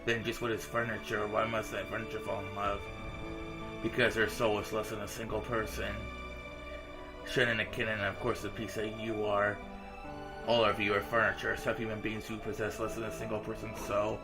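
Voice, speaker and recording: A man's voice: fairly low pitch, little pitch movement, fairly quick. A man reading visual novel dialogue aloud as a game streamer, in a casual, informal manner. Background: visual novel game music.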